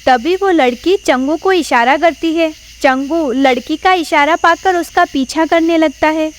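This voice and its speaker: A woman giving scripted narration for an animated horror story. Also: high-pitched, unhurried, a little hiss or hum.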